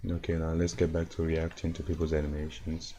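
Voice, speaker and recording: A man with a deep, dark, hoarse voice talks slowly into his desk mic, worn out from no sleep. He rambles in a laid-back way with little rise and fall, chuckling between thoughts as he reacts to clips on stream. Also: slight background noise. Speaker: animator streamer. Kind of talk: casual livestream chat and reactions.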